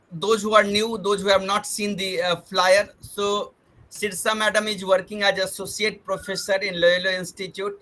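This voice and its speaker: A man hosts an online lecture series over a video call. His voice sits high and bright, with a crisp, forceful edge. He talks earnestly, restating his points in slightly different words. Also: low-quality recording. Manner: earnest, repeats and rephrases himself. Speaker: webinar host. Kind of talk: formal online introduction.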